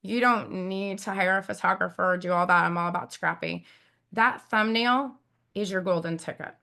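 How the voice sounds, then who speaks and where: A woman with a raspy, squeaky voice, chatting casually as an interview guest and giving advice with lots of fillers and emphatic repeats. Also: moderate pace, pushed and bright. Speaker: guest YouTube expert.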